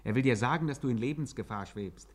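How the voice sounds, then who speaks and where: An actor playing an anxious narrator who pleads melodramatically with the hero in a radio satire. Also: male voice, medium pitch, fast talker, close to an everyday voice. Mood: lamenting. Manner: urgent, melodramatic, imploring.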